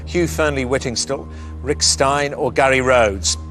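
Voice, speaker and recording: A man's voice: medium pitch, fairly bright tone, faint music behind. Man hosting a TV quiz show. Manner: friendly, bantering, reads questions with measured pauses. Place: TV studio.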